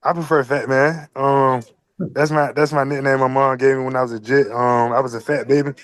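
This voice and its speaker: Fast-talking young man, dry sound. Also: mid-range voice, little pitch movement, fairly bright tone, dry recording. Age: 20s. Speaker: athlete answering press questions. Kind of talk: casual press interview answer.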